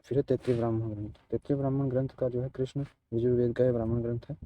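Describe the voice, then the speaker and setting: A man giving an exam-preparation lecture as a teacher, haltingly repeating and restating his key phrases. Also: medium pitch, little pitch movement, muffled recording. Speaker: teacher of exam history lessons.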